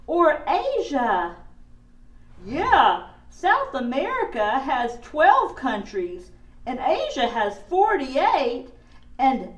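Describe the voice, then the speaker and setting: Woman playing a puppet child, high voice, wide-eyed, excitable, distant echoey room. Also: heavily acted. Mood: curious. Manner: animated, wide-eyed, slowly spoken. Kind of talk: children's puppet show skit. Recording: echoey room, far from the mic.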